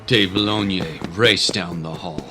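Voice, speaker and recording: A man's voice: low-pitched, slow pace, bright, forceful tone, slight background noise. A man narrating a dramatic story from a script, with music behind him. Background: clear music.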